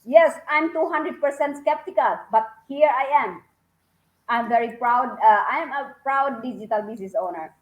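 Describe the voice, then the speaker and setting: A woman's voice: on the high side, normal speed, lo-fi sound. Filipina woman, eager, rambling panel guest on a video call, echoey room. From Philippines. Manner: enthusiastic, rambling with fillers and restarts. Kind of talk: casual online panel talk.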